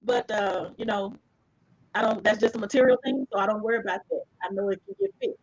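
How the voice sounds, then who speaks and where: A woman guest on a podcast, talking casually in a halting way with many fillers as she gives thanks. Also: fairly high pitch, very animated, gravelly, slightly rough, low-quality recording.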